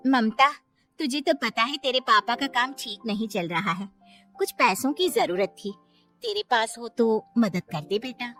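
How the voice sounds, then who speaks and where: A woman playing a reproachful, high-voiced middle-aged mother. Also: very animated, a little slow, fairly bright tone, soft background music. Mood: pleading.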